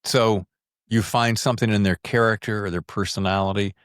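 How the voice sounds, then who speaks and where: Low-voiced man hosting a podcast, dry sound. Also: fairly even intonation, brisk, no room sound. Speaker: podcast host. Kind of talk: conversational podcast interview.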